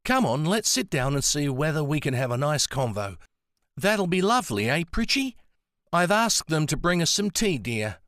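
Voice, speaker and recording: A man voicing a gentle, determined older woman with a soft Antipodean accent, coaxing and soothing. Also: fairly high pitch, big pitch swings, moderate pace, no room sound, studio quality, pitched above the performer's own voice. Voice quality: soft-spoken.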